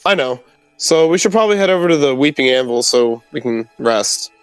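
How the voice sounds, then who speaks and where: A man's voice: mid-range voice, expressive, brisk, a bit bright, smooth, no echo, low-quality recording. A man chatting casually as a player in a tabletop roleplaying game. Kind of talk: casual chat. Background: music.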